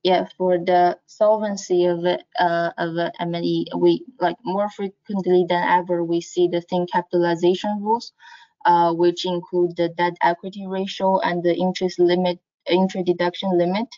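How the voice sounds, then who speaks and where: A woman presents slides in a halting, hesitant way. Her voice is mellow and fairly flat in intonation. It comes through dull, like an online webinar call. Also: fairly low pitch, no room sound.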